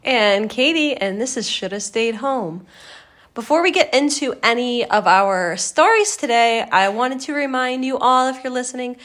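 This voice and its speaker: A woman with a deep voice, co-hosting a casual podcast and joking playfully. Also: expressive, moderate pace, fairly bright tone. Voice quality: deep voice for a woman, by her own account. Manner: playful, laughs often, jokes in a low sultry put-on voice. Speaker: podcast co-host.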